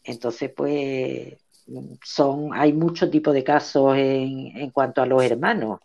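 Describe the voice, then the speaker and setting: Measured woman on muffled remote line. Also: extremely low pitch, fairly even intonation, darkish tone. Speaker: guest, mother.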